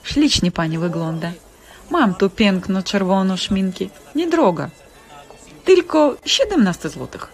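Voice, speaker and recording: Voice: mid-range voice, very animated, unhurried, soft background music. An actor playing a pushy, greedy market trader in a cartoon, hawking and wheedling in a sing-song way. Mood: flattering. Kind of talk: animated stage-play acting.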